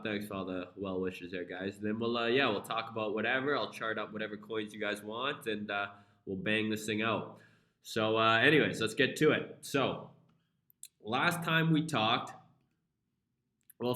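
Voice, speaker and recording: A man's voice: on the low side, sharp tone, reverberant. A young man with a flu-congested voice hosting a casual live stream, laid-back and profane as he talks through charts.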